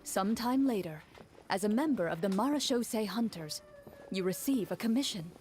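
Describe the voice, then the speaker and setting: A composed young woman storyteller voiced by an actress with a mid-pitched voice, narrating dark fantasy for an anime game. She is measured and solemn, with soft music underneath. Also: animated, lightly acted. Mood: calm narrating.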